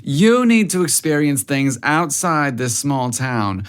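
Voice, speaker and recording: A man plays a doting older mother in a narrated story. She is pushy and affectionate, coaxing with theatrical exasperation in a voice pitched up a little. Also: very animated, slow, smooth. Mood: gushing, dreamy.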